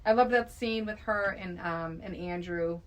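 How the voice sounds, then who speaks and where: A woman's voice: lowish voice, measured pace, low-quality recording. Middle-aged woman, emphatic casual chatter. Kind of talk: casual film discussion.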